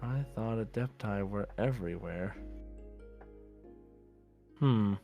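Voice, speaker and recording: A man's voice: on the low side, slow, vocal fry, dark tone. A man chatting casually as a podcast co-host who takes part in a quiz. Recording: faint music behind the voice. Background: faint music.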